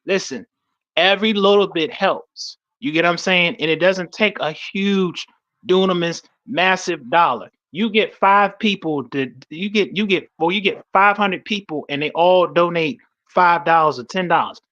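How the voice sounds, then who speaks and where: A man's voice: high-pitched, bright, forceful tone, highs cut off. A man talking live and casually with conviction, repeating himself, stumbling and checking that listeners follow him. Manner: halting, repetitive, seeks agreement with listeners. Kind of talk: impassioned casual live talk.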